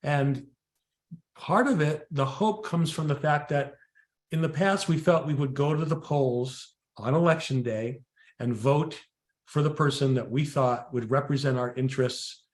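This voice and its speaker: A man's voice: mid-range voice, a little slow. An American man speaks in a mid-range voice with noticeable room echo. He gives conversational political commentary as a co-host, leaning on emphatic repetition and rhetorical questions. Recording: echoey room sound.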